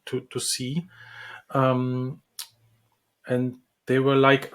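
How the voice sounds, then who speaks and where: A man answers interview questions about his work as a dentist and lecturer. He speaks slowly and unhurriedly at a middle pitch, stopping often with ums and restarting his phrases. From Czech Republic. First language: Czech. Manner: hesitant, many ums and restarts.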